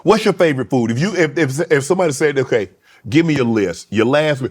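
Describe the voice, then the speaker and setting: A man hosting a casual interview podcast, playful and animated, often cutting in with quick reactions. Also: mid-range voice. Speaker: podcast host.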